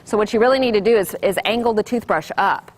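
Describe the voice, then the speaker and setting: A woman, an orthodontist giving a loud, lively classroom talk to children. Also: mid-range voice, fast talker. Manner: loud and lively, sing-song call-and-response.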